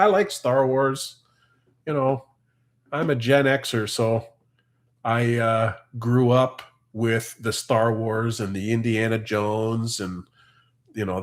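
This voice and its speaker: A Canadian man in his forties or fifties with a Canadian accent, hosting a farm livestream and reading out viewers' comments in a chatty, easygoing way. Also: medium pitch. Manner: chatty, easygoing, laughs often. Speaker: farm channel host reading live comments. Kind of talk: casual livestream chat.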